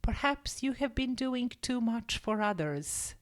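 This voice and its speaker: A reader as a gentle, grave storybook woman. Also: fairly high pitch, animated, a little slow. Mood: gentle concern. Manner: careful, gentle, restrained.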